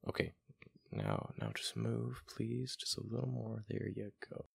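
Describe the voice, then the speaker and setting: A man voicing a flustered, affectionate young gamer boyfriend in a boyfriend ASMR roleplay, with a deep, gravelly, halting murmur. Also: wildly swooping pitch, full character voice. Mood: relieved, sheepish.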